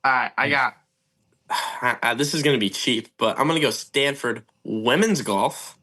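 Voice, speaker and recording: A man's voice: mid-range voice, a little slow, pushed and bright. A man speaking as a guest in a casual podcast chat about sports.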